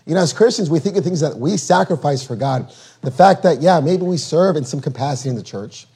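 A hoarse man preaches with a husky, darkened voice that sits a little high for a man. He reads scripture slowly and deliberately and explains it in plain, everyday words. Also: lively intonation, warm tone. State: losing his voice, hoarse. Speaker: guest preacher. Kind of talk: sermon.

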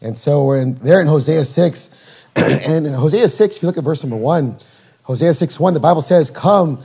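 A man preaching a sermon while losing his voice, reading scripture slowly and deliberately, then explaining it in plain words. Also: a little high, warm tone. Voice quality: husky. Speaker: guest preacher.

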